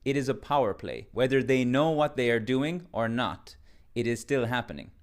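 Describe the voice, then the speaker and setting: A man's voice: medium pitch, lively intonation, normal speed. A man giving a short English lesson, emphatic and mock-indignant in a lecturing way. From Ireland. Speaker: English teacher. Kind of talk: direct-to-camera short lesson.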